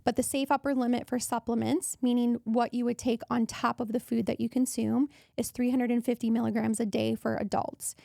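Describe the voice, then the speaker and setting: A woman hosting a wellness podcast, her voice hoarse and raspy from allergies, talking in a chatty way that wanders off on tangents. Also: on the high side, little pitch movement, fairly quick, few pauses, dark tone, dry recording, studio quality. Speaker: podcast host.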